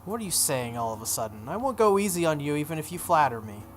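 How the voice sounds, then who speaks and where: A playthrough host voicing a sharp-tongued, haughty teenage girl mage from a visual novel, brisk and scolding. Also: mid-range voice, very animated, faint music behind, lightly acted. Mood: cool, blunt.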